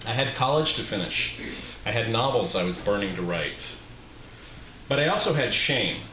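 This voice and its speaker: A man's voice: on the low side, expressive, moderate pace. A middle-aged American man from Utah at a microphone, reading a personal essay to a live room in a wry, self-deprecating way. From Utah, USA. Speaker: reading series host, memoirist. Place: small indoor bar room with brick walls. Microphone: handheld-style microphone on stand, close. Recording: roomy live venue, background noise.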